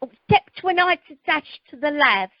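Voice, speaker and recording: A woman's voice: sits high, big pitch swings, moderate pace, no room sound. A woman playing a brash, dim, vain wife in an amateur radio comedy. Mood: unabashed. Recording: noisy, clicky conference phone line.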